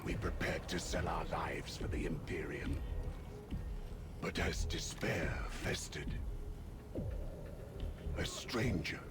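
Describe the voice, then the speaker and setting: Deep, solemn warrior narrator. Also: male voice, takes it slowly, long pauses, loud music behind the voice. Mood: grim. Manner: solemn, portentous. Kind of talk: dramatic video game cutscene narration.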